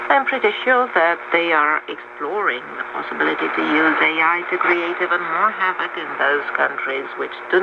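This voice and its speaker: Creaky male presenter through heavy shortwave noise. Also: fairly high pitch. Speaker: radio show host reading listener letters. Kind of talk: scripted radio presentation. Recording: noisy muffled shortwave radio reception. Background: heavy shortwave static.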